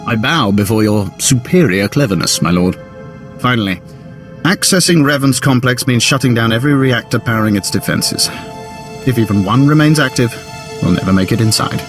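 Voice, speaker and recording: An actor playing a formal, grave military general briefing a mission in a video game. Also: male voice, mid-range voice, normal speed, music in the background, natural voice. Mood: briefing, deferential. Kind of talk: video game cutscene acting.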